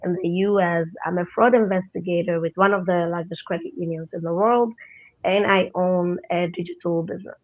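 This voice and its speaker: A woman's voice: on the low side, steady tone, a little fast, narrow, tinny audio. A woman from Ghana joining a panel discussion, speaking earnestly and reflectively and repeating words as she thinks. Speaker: panel guest.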